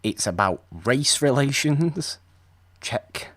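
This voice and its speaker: A man's voice: medium pitch, animated, takes it slowly, fairly bright tone, no room sound, studio quality. A man co-hosting a podcast, chatting casually about an old film and rambling with restarts. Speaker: podcast co-host.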